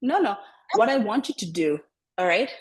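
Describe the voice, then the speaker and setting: A woman coaching public speaking over a video call, talking in a deliberately lowered register and often repeating words as she restarts. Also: expressive, low-quality recording. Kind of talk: online coaching session.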